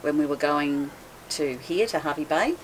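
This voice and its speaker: A woman guest in a casual interview, telling stories with frequent laughter and animated asides. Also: deep voice, normal speed, a little hiss or hum, poor audio. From Australia. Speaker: interview guest, boat cruiser.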